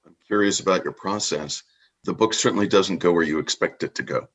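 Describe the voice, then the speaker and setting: Deep, husky-voiced male interviewer. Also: brisk, fairly bright tone. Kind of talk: conversational interviewing with personal anecdotes.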